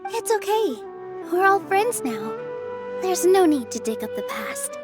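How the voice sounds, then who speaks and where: A woman voicing a kind, gentle young dancer who speaks politely and reassuringly, in video game dialogue. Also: very high voice, sing-song, a little slow, full character voice. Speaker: theater dancer. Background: game music.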